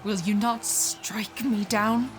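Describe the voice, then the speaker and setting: Woman playing a solemn, slow-speaking island leader. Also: mid-range voice, fairly even intonation, natural voice. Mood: defiant, grave. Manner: grave, dignified, measured. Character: solemn, reproachful female leader of a peaceful island people. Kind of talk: dramatic audio drama acting. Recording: loud music under the voice.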